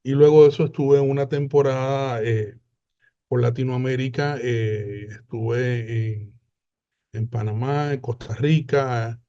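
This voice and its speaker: A man, presenting a webinar in an unhurried way with frequent hesitant fillers over a video call. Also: mid-range voice, warm tone, lo-fi sound. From Puerto Rico.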